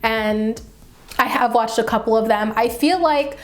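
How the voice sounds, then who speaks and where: A woman beauty vlogger chatting casually and enthusiastically to camera, with a slightly dry, raspy voice from getting over the flu. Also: a little high, measured pace. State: getting over the flu, mild sore throat. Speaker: beauty vlogger, channel host.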